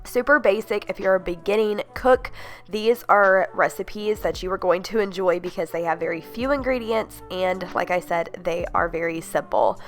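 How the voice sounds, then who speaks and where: A young woman with a congested, stuffed-up voice, chatting upbeat about easy slow-cooker recipes as a home cooking vlogger. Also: lowish voice, soft background music. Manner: upbeat, gushing, many "like"s and "you guys". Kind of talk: casual chatty recipe voice-over.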